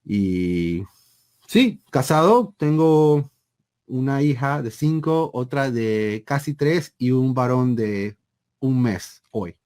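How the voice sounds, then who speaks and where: A man in his thirties with a mid-range voice speaks as an interview guest. He explains in a conversational but deliberate way, stressing key points and often adding a short tag question. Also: medium pitch, expressive, darkish tone. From Panama. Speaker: interview guest, seminary program director.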